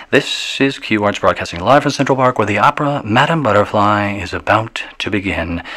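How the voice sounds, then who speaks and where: An actor playing an eccentric, provocative radio DJ in a radio drama, rambling wryly and verbosely through a theatrical live opera broadcast. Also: male voice, lowish voice, a bit bright. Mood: suave, announcing. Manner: verbose, wry, dramatic, drawn-out musing.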